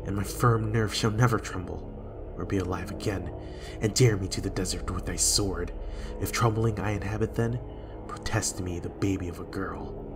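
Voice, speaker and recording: A man playing a guilt-haunted, fearful and raging king in a Shakespearean dramatic reading, with a husky voice. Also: sits low, steady tone, normal speed, music in the background. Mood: defiant, frightened. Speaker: amateur reader in a dramatic reading.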